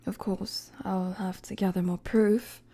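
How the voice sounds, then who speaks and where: A woman playing a weary, guarded young queen in a dramatic audio roleplay, with a soft, breathy voice and measured, formal, hesitant phrasing. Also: mid-range voice, flat delivery. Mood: worried, resolute. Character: weary young queen, regal, guarded, firm with her friend.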